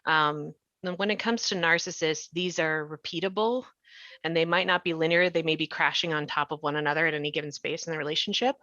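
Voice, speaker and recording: A woman's voice: low-pitched, fairly even intonation, a little fast, pushed and bright. A woman explaining things emphatically in a podcast discussion, as a writer on the panel. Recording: muffled, roomy sound.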